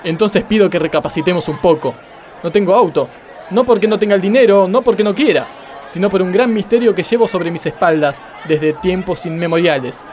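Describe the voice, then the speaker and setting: Man acting pompous judge, Argentine accent, high voice, melodramatic self-pity, comic radio play, crowd behind. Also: a little fast, a little mellow, natural voice. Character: self-pitying, pompous, exasperated male judge.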